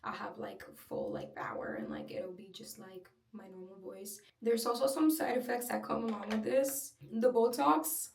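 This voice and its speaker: A young woman with a slightly breathy, gravelly voice talks in a chatty, open vlog, full of little fillers. Her voice is a touch high for a woman. She sits a little back from the mic in a room with a roomy echo. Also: sing-song, moderate pace, few pauses, far from the mic. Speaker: vlogger. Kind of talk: casual personal vlog.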